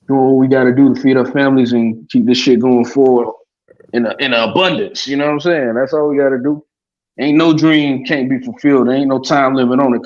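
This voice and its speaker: A man on a podcast talking casually and loosely, swearing and dropping lots of "you know what I mean". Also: mid-range voice. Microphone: remote stream call. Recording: dull lo-fi sound.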